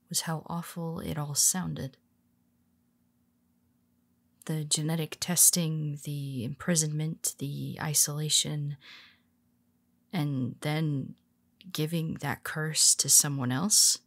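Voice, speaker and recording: Woman doing a calm sly superhero lady, low slow voice, bit of fry, half whispering. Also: exaggerated voice. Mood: confiding. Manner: calm, wry and confiding. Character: cool, scheming female superhero confessing to her nemesis. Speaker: voice actor. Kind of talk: audio roleplay monologue.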